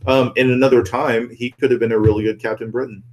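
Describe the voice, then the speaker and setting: A man co-hosting a casual film discussion, speaking informally and somewhat haltingly. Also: medium pitch, level tone, a little mellow, low-quality recording. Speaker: podcast co-host relaying audience comments.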